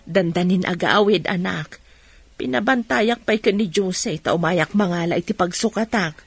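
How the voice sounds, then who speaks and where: An actress playing a caring, practical middle-aged mother in an Ilocano radio drama, with a husky voice. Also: on the low side, moderate pace, no room sound.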